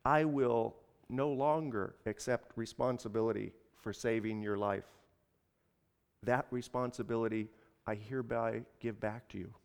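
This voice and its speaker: A man's voice: sits high, animated, normal speed, few pauses, big-room sound, pitched above the performer's own voice. An earnest traveler burdened with another man's fate speaks in a told parable , acted by a male storyteller. He is exasperated yet principled, putting his questions and terms plainly. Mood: calm, resolute. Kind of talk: dramatized storytelling.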